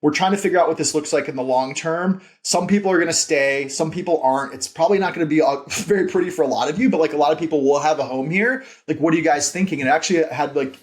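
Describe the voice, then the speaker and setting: A man's voice: fairly high pitch, fast, few pauses. An American man in his thirties telling career stories in a casual interview, rambling and earnest, with "like" peppered through his sentences. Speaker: podcast guest, company founder and CEO.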